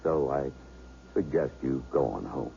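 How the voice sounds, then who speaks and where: An actor playing a calm, matter-of-fact hospital doctor in a 1970s radio drama. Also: male voice, very deep voice, unhurried, gravelly, exaggerated voice. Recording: dull old radio recording with faint hiss.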